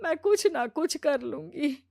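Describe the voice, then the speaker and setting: Woman playing a slow, long-suffering older mother-in-law. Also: sits high, lively intonation, creaky, mellow, no room sound, studio quality, full character voice. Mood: worried. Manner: patient, plaintive.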